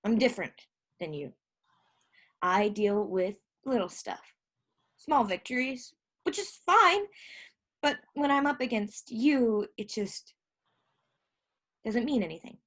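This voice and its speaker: Woman to play insecure, hurting little sister in a stage drama; high, swooping voice, halting and raw. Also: animated, fairly bright tone, heavily acted. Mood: hesitant, wounded. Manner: halting, emotional, profane. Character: hurt, insecure younger sister fresh out of rehab. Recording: live stage recording.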